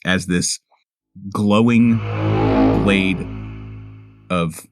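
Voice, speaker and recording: Very low male voice, slow deliberate game narration, pauses, faint music behind. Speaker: player in a tabletop game. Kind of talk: improvised first-person game narration.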